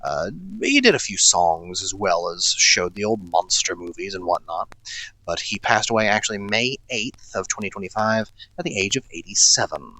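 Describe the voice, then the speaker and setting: Male radio host, lowish and forceful, chatty but halting, recorded close and dry. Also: no room sound, poor audio. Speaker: radio horror show host. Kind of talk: chatty music radio presenting.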